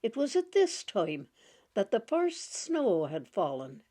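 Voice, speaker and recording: A woman reads a short story aloud at an unhurried, leisurely pace. Her telling is gentle and fond, with soft laughs coming through. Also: medium pitch, huge pitch swings. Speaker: narrator reading a short story. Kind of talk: storybook reading.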